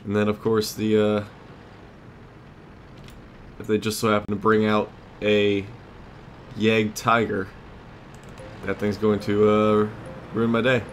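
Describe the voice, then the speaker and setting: Male game commentator, fairly low voice, conversational and unscripted, recorded with clear background noise. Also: monotone, takes it slowly, pauses often. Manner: conversational, thinking aloud. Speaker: let's play host. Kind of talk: casual gameplay commentary.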